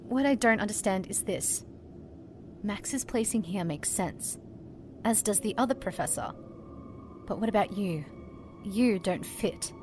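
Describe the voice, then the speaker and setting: A woman's voice: medium pitch, lively intonation, unhurried, long pauses, over music, close to an everyday voice. Woman acting a firm, sceptical companion. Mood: sceptical, confused. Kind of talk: scripted audio drama acting.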